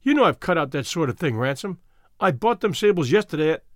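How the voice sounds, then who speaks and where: Guy reading as a proud hothead young street tough, blunt slangy talk, high-ish and animated, storybook. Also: normal speed, dry recording, clean studio sound. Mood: angry, defiant. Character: tough, proud, hot-tempered young ex-gangster plumber.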